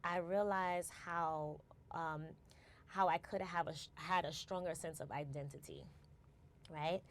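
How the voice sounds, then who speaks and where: A woman's voice: deep voice, fairly even intonation, measured pace. A woman, a guest reflecting in a casual interview and often checking in with "right?". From United States. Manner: reflective, tags phrases with "right?" and restarts words. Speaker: guest, company founder.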